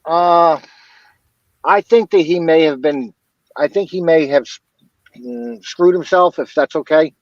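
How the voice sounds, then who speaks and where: Higher-voiced man, dull lo-fi sound. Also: measured pace, pauses often, dry recording. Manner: rambling, repeats himself. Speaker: interview guest. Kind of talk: casual commentary on a crime case.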